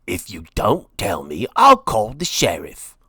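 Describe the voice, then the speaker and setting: A man playing a greedy, pompous, blustering lord in a storybook, with a husky, straining voice and over-dramatic delivery. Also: medium pitch, wildly swooping pitch, slow pace, dry recording, studio quality, heavily acted. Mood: angry, threatening.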